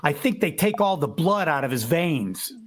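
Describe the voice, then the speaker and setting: A man's voice: a little high, big pitch swings, normal speed, faint music behind. A man playing a tired, home-loving working husband in a vintage-style radio drama, complaining in plain colloquial speech. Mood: weary, grumbling.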